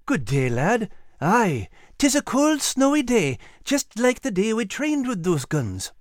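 A man's voice: high voice, huge pitch swings, slow pace, no echo, studio quality, pitched above the performer's own voice, full character voice. A man voicing a kindly, grave old Scottish sergeant in a storybook, with folksy old-fashioned soldierly phrasing. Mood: genial, proud.

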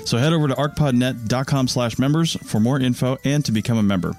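A man's voice: mid-range voice, fast, darkish tone, soft background music. A man who hosts podcasts, reading a scripted promotional announcement. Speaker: podcast network founder and host.

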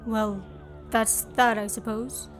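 A woman's voice: a little high, little pitch movement, slow, a little hiss or hum. A woman acting in a student radio play as an earnest, wary man on the run, with a polite, restrained manner. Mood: alarmed. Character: earnest, wary male fugitive visitor.

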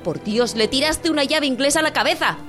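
Casting: woman as worn-out, reproachful working wife, high voice, sarcastic bite, radio drama with music underneath. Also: brisk, fairly bright tone. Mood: scolding, worried. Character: weary, sharp-tongued working-class wife, scolding and worried. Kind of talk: dramatic audio drama acting in Spanish.

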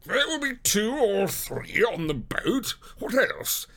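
A man voicing a hearty, officious police detective with a bluff, raspy, drawling delivery in an audiobook story. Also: high voice, big pitch swings, slow pace, fairly bright tone, voice pushed up, full character voice. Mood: agreeable, businesslike. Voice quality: raspy, creaky.

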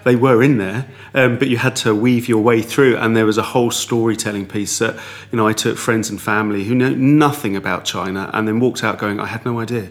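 A man answering in a relaxed interview, talking in long, rambling sentences with frequent restarts. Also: on the low side, brisk, few pauses. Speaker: guest. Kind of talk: conversational interview.

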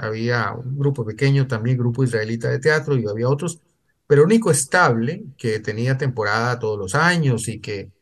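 An older man, recounting memories conversationally in a hesitant, digressive way with repeated words. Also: mid-range voice. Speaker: guest writer. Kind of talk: conversational anecdote telling.

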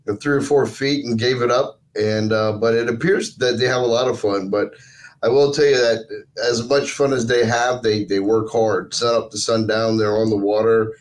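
A man's voice: mid-range voice, steady tone, normal speed, rough recording. Male sports coach as interview guest, middle register, conversational and unvarnished. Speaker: team coach, podcast guest. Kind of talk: casual interview answers.

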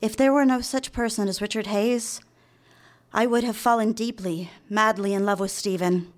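An actress playing a demure young secretary in a live radio drama, confessing a secret love in polite, old-fashioned diction. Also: female voice, medium pitch, steady tone, moderate pace, lightly acted. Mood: confessional, obsessed. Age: young adult. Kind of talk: live radio drama with first-person narration.

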